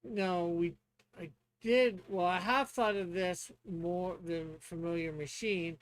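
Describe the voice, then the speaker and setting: Man, high-pitched voice, slow and hesitant, casual streamer going through game rules. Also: fairly even intonation, no echo, rough recording. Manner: hesitant, halting, thinking aloud. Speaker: streamer and tabletop player. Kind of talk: casual stream talk, reading rules aloud.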